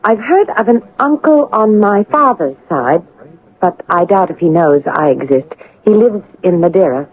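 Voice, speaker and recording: An actress playing a composed, candid eighteen-year-old orphan governess in a radio drama, speaking formally and earnestly. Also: female voice, mid-range voice, expressive, moderate pace, narrow, tinny audio, close to an everyday voice. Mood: composed, guarded. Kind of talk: radio drama acting and first-person narration. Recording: band-limited vintage radio drama broadcast.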